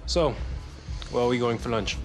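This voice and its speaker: A man's voice: medium pitch, moderate pace, faint music behind, natural voice. A man playing a playful footballer teammate who talks in a joking, mock-dramatic way in a game cutscene. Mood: wistful, joking. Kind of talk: naturalistic game cutscene acting.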